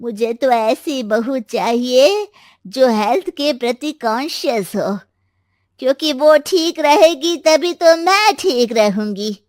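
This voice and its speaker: A woman's voice: sits high, expressive, slow pace, a little mellow, no echo, clean studio sound. A woman voicing a demanding middle-aged mother-in-law in a cartoon, nagging and ordering her daughter-in-law around. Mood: complaining. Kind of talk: cartoon voice acting.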